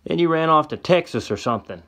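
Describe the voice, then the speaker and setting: A man voicing a hostile old frontiersman with a gun, yelling in a shrill folksy drawl. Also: lively intonation, fast talker. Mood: gloating. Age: older.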